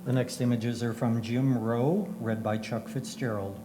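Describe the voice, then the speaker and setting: A man's voice: medium pitch, steady tone, unhurried, background noise. A man making brief scripted announcements as the presenter of a slideshow. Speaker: presenter introducing photographers and readers.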